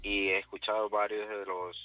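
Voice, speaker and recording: A man's voice: fairly low pitch, level tone, moderate pace, no room sound, phone-quality sound, faint music behind. A middle-aged man, calling a radio show and giving his opinion in a courteous, earnest, hesitant way. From outside Spain. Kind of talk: call-in opinion. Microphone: phone call.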